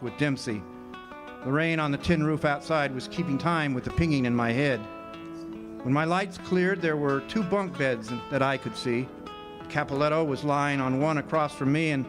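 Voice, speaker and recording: An actor playing a wry, defiant war-veteran drifter who also narrates a hardboiled radio drama. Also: male voice, a little high, moderate pace, close to an everyday voice. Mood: world-weary. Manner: wisecracking, hardboiled. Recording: live amphitheater stage, music behind.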